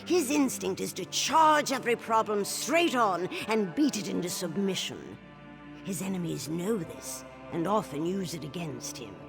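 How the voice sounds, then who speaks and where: An actress playing a shrewd, calculating older orc queen mother in a video game, measured and authoritative. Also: mid-range voice, lively intonation, gravelly, music in the background. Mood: cool, calculating. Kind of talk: video game character acting.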